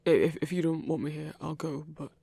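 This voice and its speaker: A man's voice: fairly high pitch, steady tone, fairly quick, vocal fry, mellow, breathy edge, dry recording. A man voicing a nervous, apologetic young werewolf in an ASMR roleplay, stammering and hesitant. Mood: nervous, earnest. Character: nervous, apologetic male werewolf, gentle and awkward.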